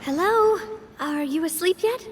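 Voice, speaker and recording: A woman's voice: very high voice, animated, unhurried, background noise. A woman playing a confident, friendly young female gang boss in an anime video game, with a breezy, self-assured manner. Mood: bright, inviting. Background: music.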